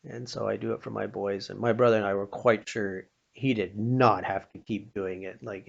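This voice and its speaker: Low, gravelly older American man telling stories. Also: lo-fi sound. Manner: folksy, plain-spoken, with pauses and chuckles. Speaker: storyteller sharing childhood memories with a religious lesson. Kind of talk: informal inspirational storytelling.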